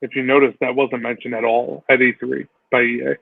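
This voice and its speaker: A man co-hosting a casual gaming podcast, talking in an animated way full of "like" and restarts. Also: medium pitch, a little fast, creaky, dry recording. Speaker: podcast co-host. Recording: dry, tinny phone-like sound.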